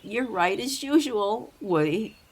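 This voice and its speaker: A woman's voice: medium pitch, expressive, slow pace, a little hiss or hum. A woman voicing a nervous young female mouse in an audio drama, apologetic and eager to please. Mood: flustered. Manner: flustered, deferential. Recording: faint outdoor ambience with birdsong.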